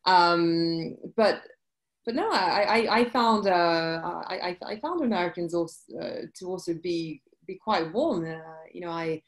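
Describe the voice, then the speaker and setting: A woman in her forties with a British accent, answering interview questions over a video call, halting with many restarts. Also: fairly low pitch, lively intonation. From UK and France. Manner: hesitant, many restarts and fillers. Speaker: guest, journalist. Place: indoor room, video call. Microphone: laptop webcam mic.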